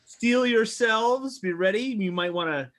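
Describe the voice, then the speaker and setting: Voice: medium pitch, unhurried. A host introducing a comedic dramatic reading, announcing the cast with mock grandeur and laughing between lines.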